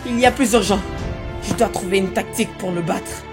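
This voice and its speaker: Performer as anxious but determined young anime hero, earnest, hesitant inner monologue, loud music behind. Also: medium pitch, brisk, lightly acted. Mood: wondering. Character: determined, anxious teenage boy hero in training. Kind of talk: anime fan dub acting.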